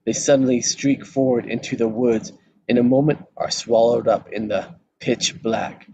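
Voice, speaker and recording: A man's voice: mid-range voice, fairly even intonation, normal speed, warm tone, dull audio. A man reading stage directions as scripted narration at a live table read. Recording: faint background noise.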